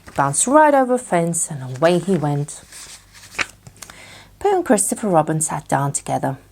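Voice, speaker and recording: A woman storyteller with a fairly low voice and very lively intonation. She narrates expressively, in the style of a children's bedtime story. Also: normal speed, slight background noise.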